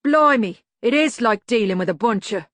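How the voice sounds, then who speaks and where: A woman voicing an exasperated male gang leader in an audiobook, with gruff, impatient authority. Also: medium pitch, lively intonation, normal speed, no room sound, lightly acted. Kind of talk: audiobook character voice.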